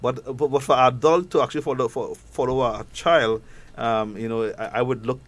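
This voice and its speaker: A man with a strong Trinidadian accent, a guest expert on a talk show, explaining in halting speech with many repeated words. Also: mid-range voice, fairly even intonation.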